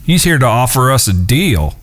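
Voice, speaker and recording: An actor playing a level-headed, well-spoken outlaw who talks in a reasoned way in a western audio drama. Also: male voice, mid-range voice, big pitch swings, moderate pace, fairly bright tone. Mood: reasoning. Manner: reasoned, articulate.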